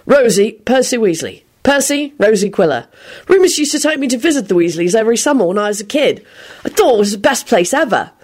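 Wanted: woman to read a cheerful, courteous young man for a storybook, eager and animated. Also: on the high side, fairly quick, pitched above the performer's own voice. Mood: friendly.

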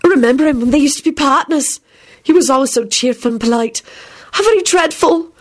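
A woman's voice: sits high, a little fast, voice pushed up, natural voice. A woman voicing a polite, easily flustered lady guest in a storybook, genteel and fluttery. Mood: sympathetic.

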